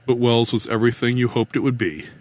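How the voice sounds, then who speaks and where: A middle-aged male investigator performed by a man questions calmly and probingly in an audiobook. The pitch is average and the recording is dry. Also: fast, dry recording, natural voice. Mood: accusing. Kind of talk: audiobook character dialogue.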